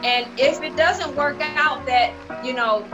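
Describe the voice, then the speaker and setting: A woman's voice: high voice, unhurried, music in the background. A woman hosting a casual cast discussion over a video call from home, speaking in an exuberant, emphatic way and often laughing. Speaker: host, playwright and producer of the cast. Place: at home indoors.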